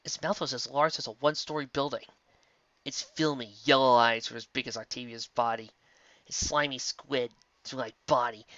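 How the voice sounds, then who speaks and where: A man reading a story aloud with expressive storytelling. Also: medium pitch, dry recording, lo-fi sound. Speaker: reader. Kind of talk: audiobook narration of fan fiction.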